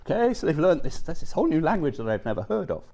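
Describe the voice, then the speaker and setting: A man's voice: on the high side, sing-song, fast talker, vocal fry, warm tone. A middle-aged man giving a conference talk, conversational, checking in with the audience with frequent "okay" and "yeah". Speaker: academic presenter.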